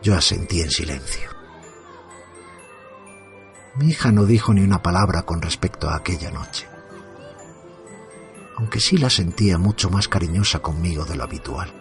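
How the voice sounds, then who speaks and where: Un hombre interpreta a un padre atormentado que narra un relato de terror en primera persona, con tono sombrío, medido y dramático. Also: male voice, deep voice, faint music behind. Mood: subdued, gloomy. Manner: somber, measured, dramatic. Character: tormented father haunted by evil, telling his story. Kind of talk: first-person horror narration.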